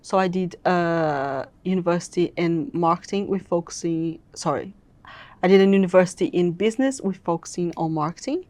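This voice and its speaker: A woman in her thirties who grew up in Brazil talks about her agency in a relaxed interview. Her voice sits fairly low, and the sound is dry and close. She is chatty, laughs easily, and often restarts her sentences. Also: normal speed, no echo. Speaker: agency founder. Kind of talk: casual interview chat. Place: content creation studio.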